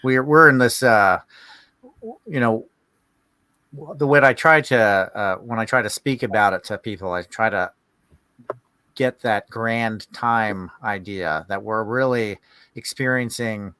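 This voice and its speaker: A man with a slightly low, bright voice hosts a conversational discussion. He muses in a halting way, searching for words as he goes. The recording is dry, with little room sound. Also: smooth, no echo. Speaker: podcast host.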